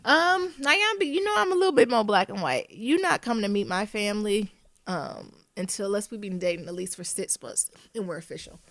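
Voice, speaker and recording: Woman, podcast co-host, a little congested, frank and animated, dry close mic. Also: mid-range voice, a little fast, dry recording. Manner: frank, animated storytelling with quoted voices. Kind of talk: casual chat.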